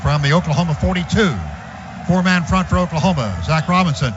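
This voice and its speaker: A man calling a football game live on the radio as play-by-play announcer, calm between plays and rising sharply when the action breaks. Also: fast. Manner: rises in pitch and volume on big plays, calm between. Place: stadium radio booth. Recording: muffled lo-fi radio broadcast with background noise.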